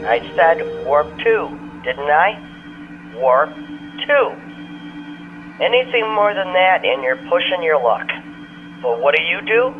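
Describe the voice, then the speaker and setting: Guy doing a grumpy alien engineer chewing people out over a tinny intercom, highish voice, bit of music behind. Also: big pitch swings, slow pace, pauses often. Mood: exasperated scolding. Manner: blunt, scolding, exasperated. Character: irascible, scolding Tellarite alien ship's engineer. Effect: ship intercom filter. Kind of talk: sci-fi audio drama acting. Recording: tinny intercom, phone-like band, faint music.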